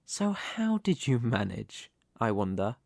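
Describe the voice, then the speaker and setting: A man playing a smug, menacing, possessive mafia boss, speaking in a taunting, silky, deliberate way in a dramatic ASMR roleplay. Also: mid-range voice, sing-song, creaky, a little mellow, no room sound, clean studio sound, heavily acted. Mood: smug, quiet menace.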